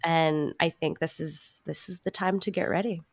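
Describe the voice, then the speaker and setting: A woman's voice: low-pitched, fairly even intonation, moderate pace. A woman speaking as an interview guest, reflective and casual, with an earnest, self-assured manner. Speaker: podcast guest, actor and writer.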